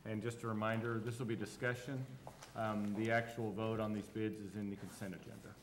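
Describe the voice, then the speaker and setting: Brisk, low-voiced superintendent. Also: male voice, flat delivery, a little hiss or hum. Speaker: school superintendent. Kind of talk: reading agenda items at a board meeting. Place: school board meeting room.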